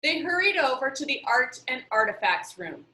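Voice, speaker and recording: Female storyteller, high and lively, expressive children's-book read-aloud, echoey room. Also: normal speed. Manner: animated, acting out dialogue.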